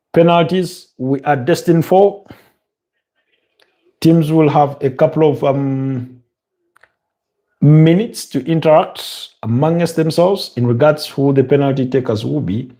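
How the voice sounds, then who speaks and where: A man from Uganda with a Ugandan English accent, chatting casually and commenting on a match live as host, with frequent ums and uhs. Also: mid-range voice. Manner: relaxed, conversational, many ums and uhs. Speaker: watch-along host.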